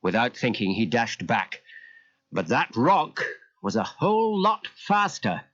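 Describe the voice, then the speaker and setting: A man's voice: mid-range voice, wildly swooping pitch, measured pace, a bit bright. A man reading a scripted children's story as a dramatic narrator. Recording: dull lo-fi cassette transfer.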